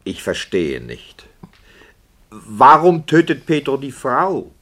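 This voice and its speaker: An actor playing an agitated, puzzled radio director in a 1960s German radio play, questioning insistently and excitably. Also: male voice, mid-range voice, slow, full character voice. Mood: baffled, insistent.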